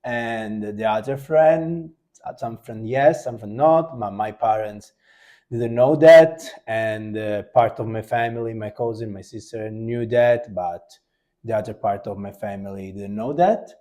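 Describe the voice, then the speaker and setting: Italian-accented man, dark halting voice. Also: on the low side. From Sicily, Italy. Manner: halting, searching for English words. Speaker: co-host. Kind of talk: casual couple chat.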